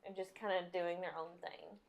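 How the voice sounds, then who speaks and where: A woman's voice: lowish voice, steady tone, moderate pace, creaky. A woman with a strong Southern US accent co-hosting a podcast, talking in an enthusiastic, chatty way and laughing often. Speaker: podcast co-host. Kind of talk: casual chat.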